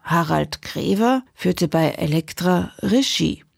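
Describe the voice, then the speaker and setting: A woman's voice: fairly low pitch, animated, slow pace, vocal fry, no room sound, clean studio sound. A woman presenting a radio play in a scripted introduction.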